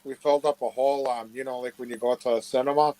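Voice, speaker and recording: A man's voice: mid-range voice, steady tone, fast, mellow, poor audio. A man answering questions in a casual live chat, rambling and plain-spoken. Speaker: interview guest.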